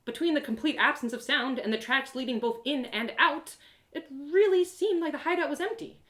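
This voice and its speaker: A woman narrating a first-person story in an animated, theatrical way, with dramatic pauses. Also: high-pitched, roomy sound. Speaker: storyteller performing an audio drama live.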